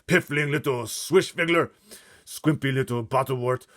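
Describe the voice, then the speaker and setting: A man voicing a huge, bullying, man-eating giant in a storybook, booming out threats and mangled words. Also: normal speed, a bit bright, no room sound, studio quality, natural voice. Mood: bullying, furious. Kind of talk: storybook read-aloud character voice.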